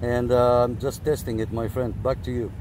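Guy on cb radio in his car, says roger roger a lot, lots of uh, average pitch, street noise behind. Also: flat delivery, moderate pace. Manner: radio lingo, repeats phrases, many fillers. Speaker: CB radio operator and vlogger. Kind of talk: casual radio chat and on-camera walkthrough. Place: parked car in a city lot, then outdoors.

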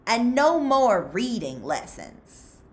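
A woman reader acts out a commanding female warden from a storybook. She gives orders firmly and condescendingly, in a raised, animated pitch. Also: slow pace. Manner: firm, condescending.

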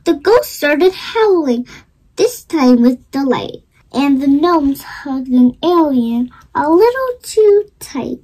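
Slow, high-pitched child reading a storybook. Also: sing-song, a little mellow, rough recording. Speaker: storybook reader. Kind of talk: expressive read-aloud of a rhyming children's storybook.

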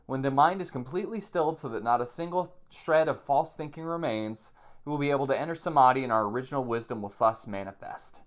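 A man's voice: mid-range voice, fast. A man around forty, a plain-spoken meditation teacher who reads aloud and explains things informally, repeating points for emphasis. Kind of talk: reading aloud from a book with informal commentary. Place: small room at home, indoors.

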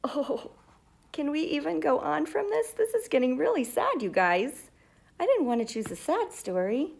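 A woman's voice: high voice, very animated, normal speed, gravelly, a little mellow. A middle-aged woman teacher talking casually and directly to young viewers during a read-aloud, recorded on a phone in a living room. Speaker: school teacher. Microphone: phone at arm's length.

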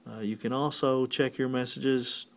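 Male tutorial presenter, medium pitch, plain and methodical, walking through instructions one step at a time. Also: fairly even intonation, unhurried, creaky, fairly bright tone. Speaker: technician giving a tutorial.

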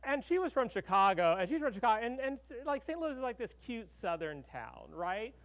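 A man's voice: extremely high pitch, very animated, a little fast, fairly bright tone. A middle-aged man from Arkansas giving a conversational conference keynote, full of fillers and "right?" tags, speaking at a podium in a large hall.

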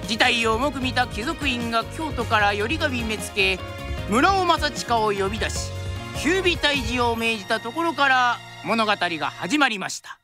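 Woman as high-voiced theatrical period storyteller, music behind. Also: animated, normal speed, natural voice. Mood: storytelling. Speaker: narrator. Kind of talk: traditional Japanese storytelling narration, radio drama.

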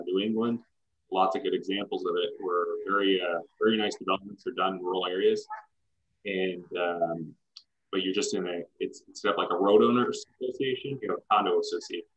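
Young male meeting moderator, low voice, informal explainer, muffled lo-fi video call sound. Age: young adult. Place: room at home, on a video call. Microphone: computer microphone on video call. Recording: dull lo-fi video call audio.

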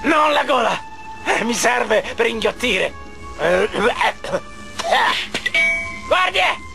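Cartoon villain sidekick: creaky, high, childish boaster, theatrical, music behind. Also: male voice, big pitch swings, slow, exaggerated voice. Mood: panicky, whiny. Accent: Italian. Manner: theatrical, frantic, self-important. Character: boastful, childish half-robot villain minion, petty and whiny. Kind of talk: animated game cutscene acting.

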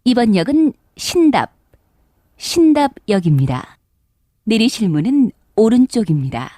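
A woman giving recorded subway announcements in a polite, measured, formal manner, recorded in a studio. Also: on the high side, big pitch swings, mellow, no room sound.

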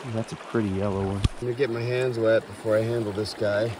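Young man narrating by a rushing creek. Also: fairly low pitch. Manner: chatty, excitable running commentary. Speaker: fishing channel host. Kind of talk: casual vlog narration while fishing. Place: outdoors by a snowy mountain creek. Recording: clear outdoor stream noise behind. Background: rushing stream water.

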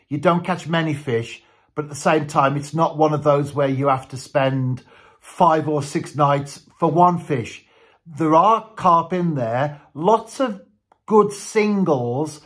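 A man's voice: on the high side, fairly bright tone. A man in his fifties from Britain, chatting enthusiastically about fishing as a guest on a radio call from his living room while wearing headphones. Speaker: radio guest and angling vlogger. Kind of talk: casual chat about fishing.

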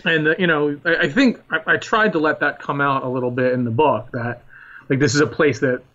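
A man's voice: fairly high pitch, a little fast, fairly bright tone, highs cut off. A man in his thirties from Philadelphia, a historian being interviewed on a podcast, talking earnestly with emphatic repetition. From Philadelphia, United States. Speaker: historian guest.

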